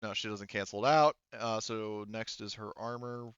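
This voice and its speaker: A man's voice: lowish voice, fairly even intonation, normal speed, fairly bright tone, lo-fi sound, slight background noise. A man acting as game master, narrating the action and working through rules in an informal, exclamatory way. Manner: informal, exclamatory, swears freely. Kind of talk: casual tabletop game narration and rules adjudication.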